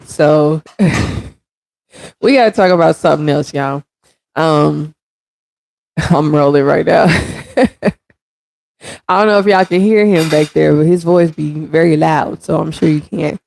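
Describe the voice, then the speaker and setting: A congested woman in her thirties with a raspy, gravelly throat hosts a relaxed livestream, talking straight to her viewers. Her voice sits low and mellow, and she takes her time. The sound is dry and close. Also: a little slow, pauses often. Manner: chatty, addresses viewers directly, reads comments aloud. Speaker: stream host. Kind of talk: casual livestream chat.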